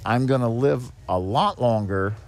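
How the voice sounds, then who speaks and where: Older male podcast guest, medium pitch, folksy and emphatic, conversational explainer who drives points home by repeating them. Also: unhurried. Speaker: podcast guest, head of a seniors' nonprofit. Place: on location at a garage.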